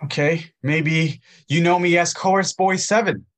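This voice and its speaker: An actor playing a young, homeless chorus actor from Arizona, earnest and pleading, in an audio drama. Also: male voice, a little high, a little slow, a bit bright. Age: young adult. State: shivering from cold.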